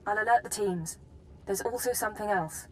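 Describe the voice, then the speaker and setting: A woman's voice: medium pitch, moderate pace, slight background noise, close to an everyday voice, low-quality recording. An actress playing an efficient female intelligence handler in a video game, briefing formally and precisely. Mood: businesslike. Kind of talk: video game dramatic acting.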